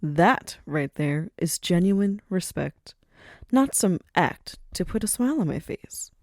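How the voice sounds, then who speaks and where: Woman acting as female crime boss, slightly low pitch, imperious, elegant, sly endearments, audio roleplay, dry recording. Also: animated, slow pace, dry recording. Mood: stern, imperious. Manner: poised, grandly formal, uses endearments like dearie. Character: commanding, cultured female mafia boss with sly warmth.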